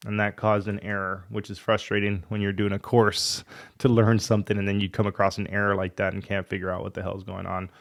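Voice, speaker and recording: A man with a cold and a fading voice, talking casually through a coding walkthrough and muttering in frustration as he debugs. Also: fairly low pitch. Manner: thinks aloud, frustrated muttering while debugging. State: losing his voice, has a cold. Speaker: coding tutorial host.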